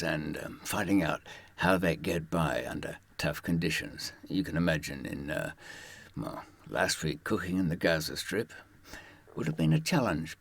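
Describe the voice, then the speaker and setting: A man with a husky voice telling first-person stories and advice informally, with wry, understated humour. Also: low voice, no echo. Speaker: author and former prisoner giving advice.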